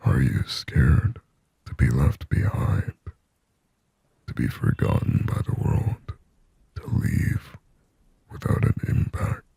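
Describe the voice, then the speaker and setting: A man performs a lonely sleep paralysis demon in an ASMR roleplay. The whisper is dark and very husky, sitting close and dry on the microphone. He speaks slowly and haltingly, with a brooding, possessive longing. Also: extremely low pitch, animated, no room sound, exaggerated voice. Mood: brooding, fearful. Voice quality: breathy, raspy whisper.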